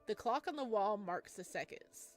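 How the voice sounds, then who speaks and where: A giggly, excitable woman reading a visual novel aloud with playful, joking commentary during a let's play. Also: mid-range voice, moderate pace, faint music behind. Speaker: gaming content creator playing a visual novel.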